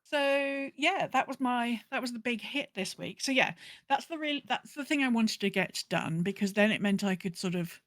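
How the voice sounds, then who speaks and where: A woman co-hosting a podcast, chatting casually about gaming in an animated, rambling way and acting out her own thoughts. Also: a little high, moderate pace, no echo, clean studio sound. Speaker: podcast co-host.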